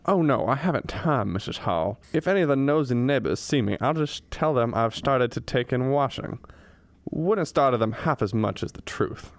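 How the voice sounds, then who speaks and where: A man's voice: medium pitch, expressive, normal speed, no room sound, lightly acted. A man acting a shy, absent-minded bachelor professor in a radio comedy, with a hesitant, folksy, wry delivery. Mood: flustered.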